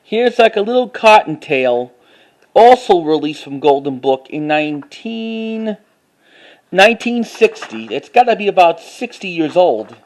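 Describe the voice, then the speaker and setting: A man narrating a casual, unscripted show-and-tell as a collector, haltingly, repeating phrases and reading titles aloud. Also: high-pitched, big pitch swings, a little mellow. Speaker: collector showing finds to camera.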